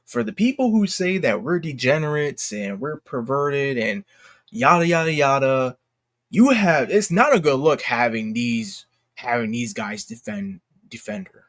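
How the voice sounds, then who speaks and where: An American man giving casual, unscripted online commentary in a halting, repetitive, slangy way. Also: mid-range voice, sing-song, a bit bright. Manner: halting and repetitive, slangy, addressing viewers as y'all. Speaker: online video commentator and host. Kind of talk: casual unscripted commentary, reading posts aloud.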